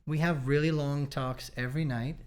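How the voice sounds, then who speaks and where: A man in his thirties from Canada talks easily and at length. His voice sits a bit high for a man, and he drifts into joking asides as he hosts a casual chat about relationships. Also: moderate pace. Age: 30s. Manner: relaxed, rambling, joking asides. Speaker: podcast host.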